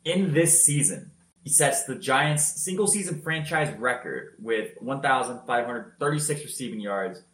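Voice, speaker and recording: A man telling football highlights in a casual podcast chat, energetic and loud-voiced. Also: normal speed, reverberant. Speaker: podcast co-host. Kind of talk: casual sports recap.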